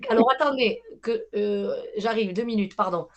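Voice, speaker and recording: A woman's voice: mid-range voice, normal speed, highs cut off. A woman giving a live medium reading to callers over a video call, hesitant and reassuring, often repeating herself.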